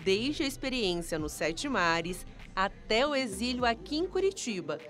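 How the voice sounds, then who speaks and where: A woman's voice: a little high, big pitch swings, a little slow, a bit bright. A woman reading scripted TV news narration as a reporter. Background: background music.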